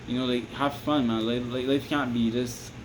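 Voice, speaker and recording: A man's voice: medium pitch, fairly even intonation, normal speed. Rambling Barbadian man with hissy background. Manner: rambling, repeats "you understand me?", restarts often. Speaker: vlogger giving advice. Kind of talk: casual advice monologue to camera.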